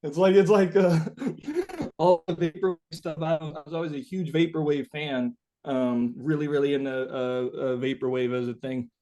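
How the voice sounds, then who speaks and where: A man being interviewed as a musician, talking casually and haltingly as he searches for the right words. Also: a little high, mellow.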